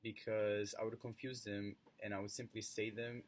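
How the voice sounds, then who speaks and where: A man's voice: on the low side, level tone, normal speed, slight background noise. Young Brazilian man telling his story. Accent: Brazilian Portuguese. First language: Portuguese. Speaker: student. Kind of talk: personal narrative presentation.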